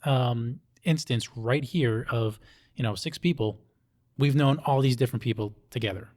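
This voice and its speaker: A man's voice: medium pitch, moderate pace, darkish tone. A man from Hawaii speaking conversationally as an interview guest, explaining things and often checking in with short tag questions. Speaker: police officer interviewee.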